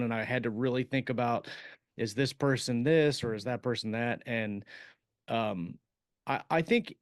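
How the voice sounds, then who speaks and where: A man in a casual podcast discussion about the craft of writing, recorded close and dry. His voice sits at a middle pitch. He thinks aloud as he goes, halting and searching for the right word. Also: no room sound. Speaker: podcast host and science fiction author.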